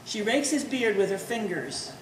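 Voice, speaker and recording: A woman narrates stage directions in a medium-pitched voice. She is a little far from the mic in a reverberant hall, reading scripted action. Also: expressive, normal speed. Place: art gallery performance space. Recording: echoey hall from afar, faint noise.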